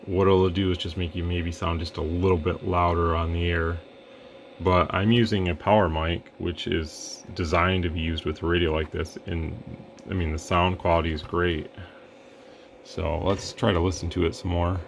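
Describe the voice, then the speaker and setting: A man with a deep, level voice talks through a radio demo in an easygoing, rambling way, pausing with fillers as he works. He sounds low and steady, with faint noise behind him. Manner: relaxed, rambling, thinks aloud with fillers. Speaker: radio equipment reviewer. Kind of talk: casual hands-on demo narration.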